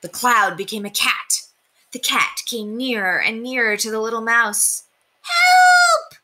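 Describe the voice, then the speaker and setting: A young woman reading a children's storybook aloud in an expressive, deliberate way. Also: fairly high pitch, slight background noise. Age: young adult. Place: small indoor room. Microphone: webcam at desk distance.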